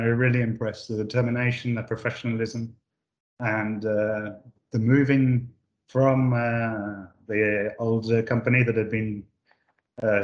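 A man's voice: mid-range voice, reverberant, lo-fi sound. A man from the UK, a managing director answering interview questions with many fillers and restarts. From United Kingdom. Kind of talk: corporate podcast interview.

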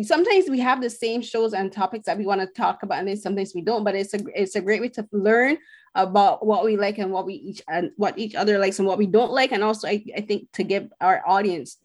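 A woman from Barbados, a film critic talking at length as a podcast guest, earnest and pausing to search for words. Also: mid-range voice. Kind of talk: casual podcast conversation.

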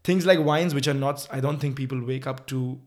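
A man's voice: mid-range voice, brisk. A man hosting an interview, talking in a rambling way as he searches for words. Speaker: interview host. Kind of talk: casual interview.

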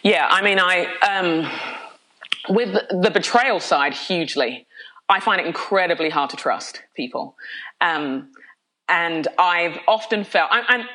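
A woman's voice: fairly low pitch, expressive, measured pace, sharp tone, low-quality recording. A middle-aged woman from London, a pastor and podcast guest, talking candidly with energy and frequent laughs, often restarting her sentences. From London, of Nigerian heritage. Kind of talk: candid conversational interview.

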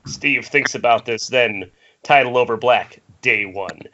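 Bright-voiced man narrating a screenplay. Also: medium pitch, measured pace. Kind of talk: reading screenplay stage directions. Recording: muffled internet call, faint noise.

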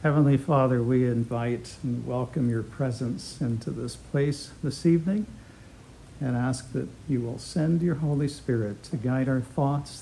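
A man's voice: medium pitch, vocal fry, slight background noise, rough recording. A middle-aged man teaching a Bible class, opening with a prayer and then lecturing in a conversational way, chuckling now and then. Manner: warm, conversational, chuckles often, with fillers.

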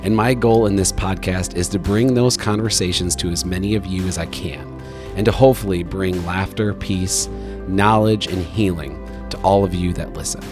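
Low-voiced male podcast host. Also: steady tone, moderate pace, over music. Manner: rambling storyteller, lots of fillers and "bro". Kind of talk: casual conversation.

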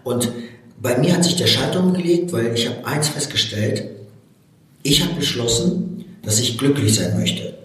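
A man's voice: mid-range voice, lively intonation, moderate pace, fairly bright tone. A man in his forties from Baku, a native Russian speaker, earnestly telling his personal story as a motivational coach in an interview, with frequent hesitations. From Baku, Azerbaijan. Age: 40s. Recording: very echoey room, distant from mic.

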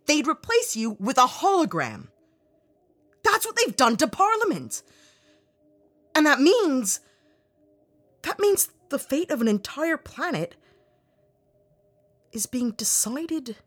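A woman's voice: sits high, exaggerated voice. A woman voicing a determined, curious young investigator in an audio drama, earnest and questioning.

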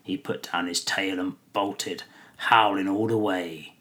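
A man's voice: sits low, steady tone, takes it slowly, pushed and bright, voice pushed down, heavily acted. A man voicing a suspicious, grumbling male hobbit farmer who talks in folksy rustic dialect. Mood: grumbling storytelling.

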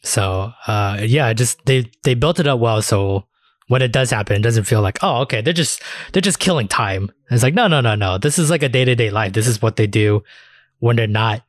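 A man hosting a podcast in a loose, chatty style. His voice is mid-pitched and recorded dry, with almost no room. He rambles along with enthusiasm and swears freely. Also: expressive, normal speed, no room sound. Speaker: podcast host. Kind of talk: casual anime discussion chat.

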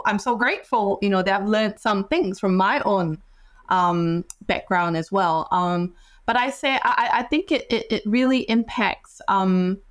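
A woman from Singapore talks as a clinical psychologist on a podcast, pausing often with ums and restarting her phrases. Her voice sits at an ordinary middle pitch, and her tone stays thoughtful and measured. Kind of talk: reflective podcast conversation.

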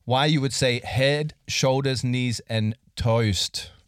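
A man's voice: medium pitch, fairly even intonation, slow, clear, resonant tone, dry recording. Joking man, dry close sound. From Australia. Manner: joking, teasing, laughs often. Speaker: podcast co-host. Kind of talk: casual chat.

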